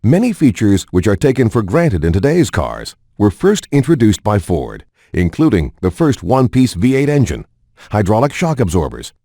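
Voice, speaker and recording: A man's voice: fairly low pitch, mellow, no room sound, studio quality. A man reading formal, scripted promotional narration as a voice-over for a game. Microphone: studio voice-over.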